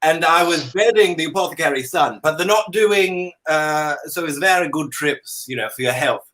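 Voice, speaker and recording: A man's voice: on the high side, moderate pace, bright, forceful tone, rough recording. A man from Canada chatting comically as a talk show guest in an affected, theatrical dandy persona, with hesitant phrasing. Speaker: drag comedy performer, talk show guest. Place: indoor room at home, on a video call. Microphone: video call.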